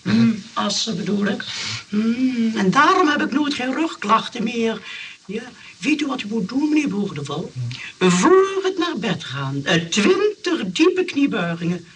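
A woman's voice: on the high side, big pitch swings, measured pace. An actress in a comic radio play playing a wealthy, affected old lady of seventy-eight, forgetful and breezy, grandly giving instructions between little hums. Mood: boastful, airy. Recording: faintly noisy radio play recording, distant mic.

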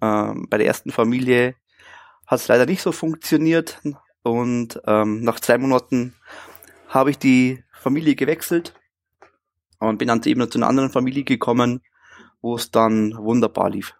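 A Bavarian-accented man in his early thirties talks as an interview guest, easygoing and chatty. His voice sits at an ordinary middle pitch, and he fills his pauses with little hesitation sounds as he thinks aloud. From Bavaria, Germany. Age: 30s. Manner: relaxed and chatty, with frequent filler sounds. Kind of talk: casual conversational interview.

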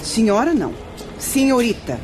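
A woman's voice: a little high, very animated, normal speed, over music. An actress playing a haughty, brusque young prostitute mother with a Brazilian accent in a radio soap opera.

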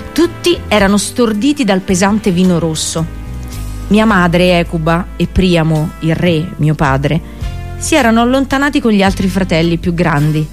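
Over background music comes a bitter, accursed princess of a fallen city. She delivers a theatrical radio play monologue, solemn and intense, as a seer nobody believes. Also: fairly low pitch. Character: Cassandra, bitter accursed Trojan princess and prophetess.

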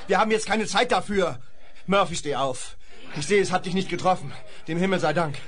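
An actor playing an earnest, anxious young lad in a western radio play, urgent and pleading. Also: male voice, sits high, fairly quick, fairly bright tone. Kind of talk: 1970s radio play for children.

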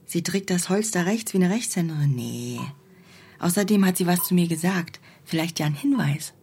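An actress playing an eager, plucky young police trainee in a radio drama, informal and direct. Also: female voice, on the low side, normal speed, darkish tone, close to an everyday voice. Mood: earnest. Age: young adult. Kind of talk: German radio drama acting.

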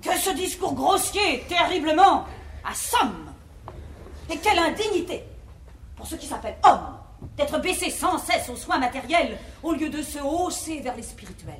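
An actress playing an imperious, pedantic bourgeois wife, declaiming pompously in a classical French verse comedy. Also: female voice, sits high, very animated, unhurried, exaggerated voice. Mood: scornful. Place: theatre stage. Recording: roomy 1950s theatre recording, faint noise.

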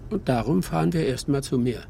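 A laconic old backwoods logger in an audio drama. The voice is dark and creaky, calm and sly, with faint vehicle noise behind it. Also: male voice, mid-range voice, brisk. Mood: calm, needling. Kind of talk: naturalistic German audio drama acting.

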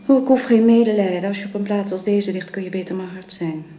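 A woman's voice: mid-range voice, fast, mellow, a little hiss or hum, lightly acted. Weary, bitter bedridden wife in a roomy recording, acted by a woman. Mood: bitter, resigned. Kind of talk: radio drama acting.

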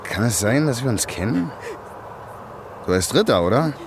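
Gruff, weary police detective. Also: male voice, medium pitch, sing-song, moderate pace, background noise. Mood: probing. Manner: terse, coarse-mouthed, commanding. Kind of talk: naturalistic German radio drama acting.

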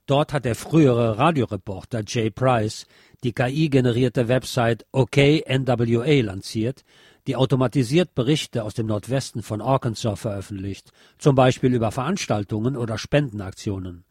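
Male narrator, medium pitch, measured formal delivery, scripted feature essay, very dry close sound. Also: a little mellow, breathy edge, no room sound.

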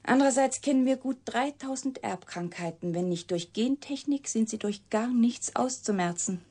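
An actress playing an embittered, secretive woman scientist in a German radio drama, deliberate and intense in delivery. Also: on the high side, a little mellow. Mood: cool, scornful. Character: embittered woman scientist, secretive product of her father's experiment.

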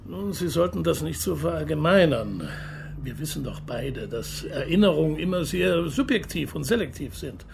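An actor playing a patient, persistent journalist in a radio drama, speaking politely and probing in a measured way. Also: male voice, a little high, animated, gravelly, noisy background. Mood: placating, reasoning. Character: patient, persistent male journalist interviewing a witness. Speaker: interviewer. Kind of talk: naturalistic radio drama dialogue.

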